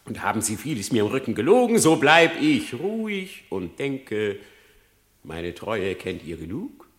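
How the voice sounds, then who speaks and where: An actor playing a sly, greedy fox in a verse radio play, wheedling and theatrically mock-humble. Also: male voice, medium pitch, wildly swooping pitch, measured pace. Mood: smooth flattery. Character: sly, flattering, greedy male fox feigning piety.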